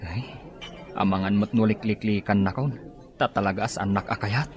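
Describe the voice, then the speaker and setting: A man's voice: lowish voice, fairly even intonation, moderate pace, highs cut off, lightly acted. A man acting a lovesick, insecure younger Filipino man with an Ilocano accent in a comedy radio drama. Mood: anxious, pleading.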